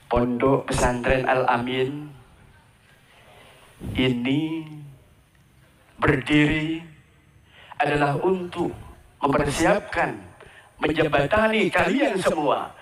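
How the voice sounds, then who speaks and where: A man's voice: medium pitch, a bit bright. A man gives a ceremony address to students, asking the crowd questions and repeating his phrases. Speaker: ceremony speaker at an Islamic boarding school. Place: outdoor school ceremony. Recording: echoey, from far off the mic.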